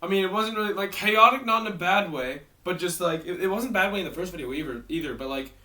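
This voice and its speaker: A man's voice: sits high, fast, bright, forceful tone, distant mic. A teenage boy from Maryland with a worn-out, tired voice, rambling through a casual storytime vlog with self-mocking asides. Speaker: YouTuber.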